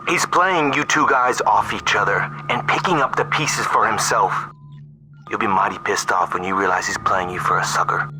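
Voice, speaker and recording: An actor playing a stern, ruthless, self-righteous army colonel in a video game cutscene, speaking in a measured, condescending, menacing way. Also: male voice, on the low side, bright, forceful tone, a little hiss or hum, rough recording. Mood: warning, insistent. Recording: music behind the voice.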